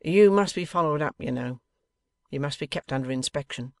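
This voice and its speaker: A man's voice: a little high, moderate pace, darkish tone, no room sound, studio quality, lightly acted. Man voicing a sly, sneering, bullying Victorian rogue. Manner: contemptuous, blustering, colloquial Cockney turns of phrase. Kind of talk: dramatised audiobook character voice.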